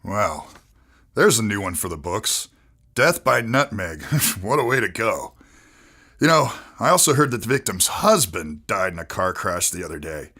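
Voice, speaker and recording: Husky, low, wry police detective man acting. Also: very animated, normal speed, pushed and bright, full character voice. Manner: wry, laconic. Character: wry, world-weary male police detective. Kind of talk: audio drama acting.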